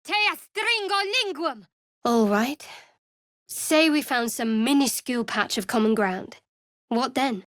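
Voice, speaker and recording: A guarded young woman cleric who serves a dark goddess. Her voice sits a little high, with a wry, sardonic edge to the delivery. The recording is very dry, as game dialogue with no room around it. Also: expressive, slow pace, no room sound. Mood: incanting, then firm, guarded. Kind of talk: video game character voice lines.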